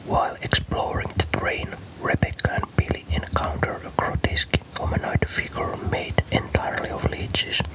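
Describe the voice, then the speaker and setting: A man from Finland softly whispers a scripted story in an ASMR style, breathy and unvoiced, with slow, deliberate delivery. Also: very high-pitched, low-quality recording.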